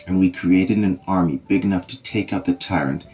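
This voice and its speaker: An actor voicing a grave Scottish nobleman with a steady, reassuring manner. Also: sits low, a little fast, faint music behind, lightly acted. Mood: solemn. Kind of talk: fan audio drama acting.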